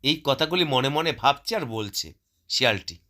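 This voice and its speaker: A man's voice: medium pitch, animated, normal speed, bright, forceful tone. A man narrating a scripted cartoon story as the storyteller.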